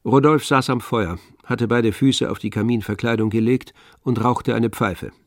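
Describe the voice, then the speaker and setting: A man narrating a literary radio drama in a measured storytelling manner. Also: mid-range voice, fairly even intonation, no echo. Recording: dry studio, music behind.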